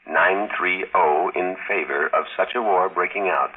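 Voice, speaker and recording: An actor playing a cold, precise electronic brain in an old radio drama, speaking in measured, formal, mechanical phrases. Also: male voice, low voice, slightly rough. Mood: flat, factual. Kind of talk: 1950s radio drama acting. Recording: tinny, band-limited vintage radio recording.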